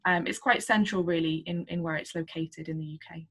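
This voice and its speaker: Uma jovem com sotaque de fala de casa numa videochamada, num tom algo grave. Conversa à vontade e solta muitos "um" enquanto fala da universidade. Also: female voice, sits low, a little fast. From Yorkshire, England. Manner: chatty, many ums, lively laughs. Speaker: university representative guest. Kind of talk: webinar interview. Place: at home. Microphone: video call.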